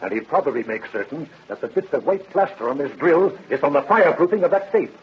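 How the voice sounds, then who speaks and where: An actor playing a brisk, confident male police detective, pressing and wry, in a 1930s-style radio crime drama. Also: a little high, animated, slightly rough. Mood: smug, wry. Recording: dull old radio recording.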